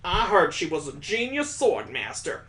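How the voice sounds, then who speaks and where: A man voicing an excitable young schoolgirl in a visual novel, full of eager questions. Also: fairly high pitch, moderate pace, voice pushed up, heavily acted. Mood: eager, curious.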